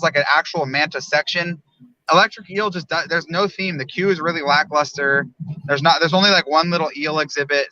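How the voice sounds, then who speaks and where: A man chatting casually as a livestream guest, talking loosely and haltingly about his opinions. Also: on the high side, bright, forceful tone. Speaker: guest theme park reviewer. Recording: dull, lo-fi, dry call audio.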